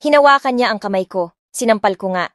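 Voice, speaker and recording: Expressive woman narrating a romance audiobook. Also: medium pitch, fast, no echo, clean studio sound. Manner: expressive, mixing two languages.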